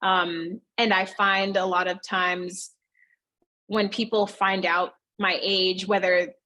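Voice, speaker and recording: A woman in her thirties talks through her answers in a loose, chatty way. She keeps an everyday mid-range pitch and slips into livelier voices whenever she quotes someone. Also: level tone, takes it slowly, bright, forceful tone. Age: 30s. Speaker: fitness studio owner, guest. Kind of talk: casual interview answers.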